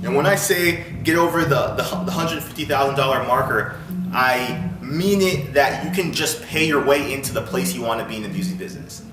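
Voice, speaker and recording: A man's voice: medium pitch, animated, brisk, background noise. A man giving an informal, blunt instructional talk as a coach, explaining points and often checking in with the listener. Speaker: music industry coach, video host. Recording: roomy echo with clear music underneath. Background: music.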